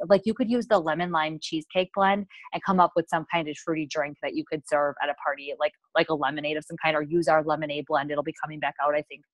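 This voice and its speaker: An American woman with a slightly low voice coaches her team on a casual call. She is enthusiastic and chatty, often wandering into asides. The sound is dry, with little room in it. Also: dry recording. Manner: enthusiastic, peppy, rambling with asides. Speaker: sales team leader hosting a coaching call.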